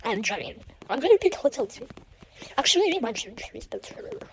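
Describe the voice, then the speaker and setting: Female gamer, lively swooping delivery, fairly high voice, casual running commentary with repeated phrases, very noisy home recording. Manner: repeats short phrases rapidly. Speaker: gamer commentating own play.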